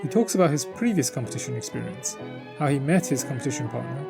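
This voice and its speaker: A man's voice: mid-range voice, expressive, fast, gravelly, music in the background. A man hosting an interview podcast, introducing topics and asking questions with short acknowledging replies.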